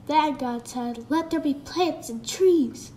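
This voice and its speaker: A woman's voice: high voice, takes it slowly, mellow, slight background noise. A woman reading a children's creation story aloud, playfully voicing the sound effects.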